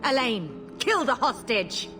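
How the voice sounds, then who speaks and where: A woman playing a vengeful, domineering female mage in a video game, speaking with cold, deliberate scorn. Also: a little high, sing-song, over music. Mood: bitter vengeful. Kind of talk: dramatic video game acting.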